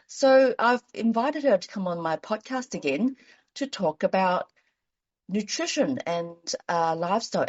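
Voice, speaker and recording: A woman's voice: lowish voice, dull audio. A woman doctor hosting a podcast, chatting casually with a guest. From China. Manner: lively scripted intro, then relaxed chatty questions. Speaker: podcast host, GP. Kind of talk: podcast interview.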